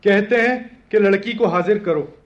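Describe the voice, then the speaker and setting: A timid male servant of a royal palace in a radio play. His voice is high and imploring, full of anxious deference. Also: unhurried, highs cut off. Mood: alarmed. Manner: deferential, pleading. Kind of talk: Hindi radio play acting.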